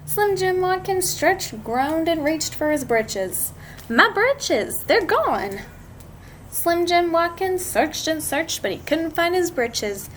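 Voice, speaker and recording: A woman reading a picture book aloud to children, expressive and drawn out, with chatty asides to her listeners. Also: very high-pitched, slow, hardly any pauses. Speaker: read-aloud storyteller. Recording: clearly noisy amateur recording.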